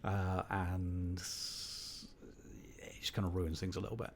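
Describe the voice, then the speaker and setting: A slightly hoarse man giving rambling, wry, opinionated commentary while playing a game. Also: sits low, little pitch movement, takes it slowly, slight background noise. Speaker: gaming host. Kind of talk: casual gameplay commentary.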